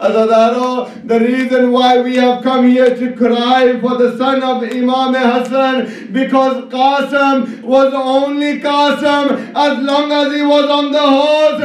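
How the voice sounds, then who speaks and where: High-pitched male preacher in an echoing hall. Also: monotone. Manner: declamatory, mournful, drawn-out phrases with rhetorical questions. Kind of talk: impassioned Shia lament sermon. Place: large indoor congregation hall. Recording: echoey hall, distant microphone.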